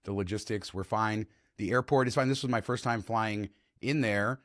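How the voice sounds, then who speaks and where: A man hosting a travel podcast, chatty and enthusiastic, his voice slightly hoarse, on a close podcast mic. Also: fairly low pitch, normal speed, fairly bright tone, no room sound. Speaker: podcast host. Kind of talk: casual travel podcast chat.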